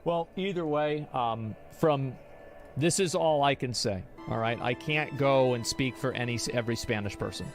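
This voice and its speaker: Streamer type, young man, argumentative, rambling, mid-range voice, low music bed. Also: normal speed. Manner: rambling, argumentative, restarts often. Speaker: streamer reacting to chat. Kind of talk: casual opinion talk.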